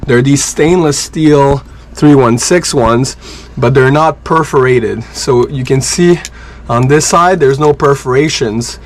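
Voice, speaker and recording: A man's voice: mid-range voice, slow. A man whose first language is French, casually explaining a bilge pump install step by step on his sailboat. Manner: relaxed, jokey, explains step by step. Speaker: boat owner and vlogger. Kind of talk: casual how-to talk while working. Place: on a sailboat, working in tight bilge area.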